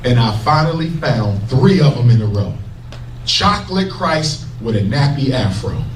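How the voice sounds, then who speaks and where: A man's voice: mid-range voice, animated, a little slow, background noise. Fiery preaching man in an echoey hall. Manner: preaching, emphatic, jokes with the crowd. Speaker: speaker addressing an audience. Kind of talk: fiery public lecture. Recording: echoey hall recorded from a distance.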